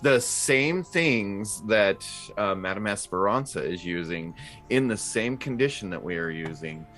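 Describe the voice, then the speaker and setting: A man with a fairly low voice talks through his turn in a tabletop game. He takes his time, casually working out his words as he goes, with faint music behind him. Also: expressive, measured pace, a bit bright. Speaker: player in a tabletop RPG. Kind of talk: casual improvised game chat.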